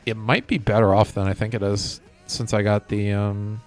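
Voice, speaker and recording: A man's voice: fairly low pitch, level tone, moderate pace. A man chatting casually as he livestreams a video game. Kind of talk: casual gaming chat. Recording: music playing behind the voice. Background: music.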